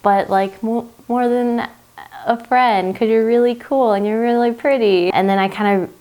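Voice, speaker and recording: A woman's voice: a little high, fairly even intonation, moderate pace, dark tone. A young woman, a college student, chatting casually and a little self-consciously in a documentary interview. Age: young adult.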